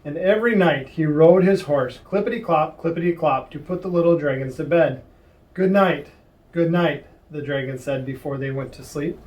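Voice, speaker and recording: Higher-pitched man reading a story, off-mic. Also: moderate pace, a little mellow, dull audio. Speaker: storybook reader. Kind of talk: read-aloud children's story narration. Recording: distant mic.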